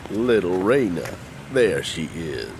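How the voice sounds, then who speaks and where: Avuncular older man, folksy audio drama character acted by a man, low pitch, lively intonation. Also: slow pace, creaky, noisy background, exaggerated voice. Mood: fond, welcoming. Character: kindly older male family friend, gentle, wise.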